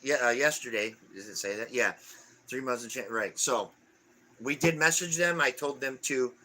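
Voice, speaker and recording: A man in his forties co-hosting a casual live stream, reading viewer comments aloud in a halting way with lots of fillers. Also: medium pitch, bright, forceful tone. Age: 40s.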